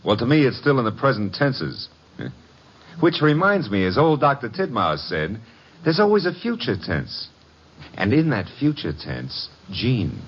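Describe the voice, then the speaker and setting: A man's voice: mid-range voice, wildly swooping pitch, measured pace, husky, exaggerated voice. An actor playing a breezy, flirtatious detective's legman, delivering slangy, sardonic lines with swagger in a radio mystery. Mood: wisecracking. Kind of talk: 1950s radio detective acting. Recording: muffled, noisy vintage radio recording.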